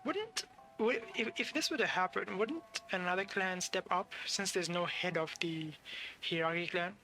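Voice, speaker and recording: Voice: mid-range voice, moderate pace, pushed and bright, soft background music. A game streamer giving casual, conversational live reactions, thinking aloud about the story.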